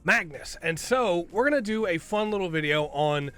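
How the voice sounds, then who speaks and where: A man's voice: sits high, expressive, normal speed, a bit bright, clear, resonant tone. Rambling man with a cold. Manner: rambling, tags lines with "right?". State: has a cold. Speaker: video host. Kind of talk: casual commentary video.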